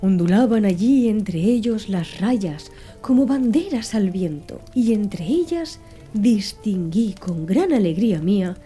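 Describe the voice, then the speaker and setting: A woman narrating an audiobook in a soft, calm, measured ASMR reading style. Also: medium pitch. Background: soft background music.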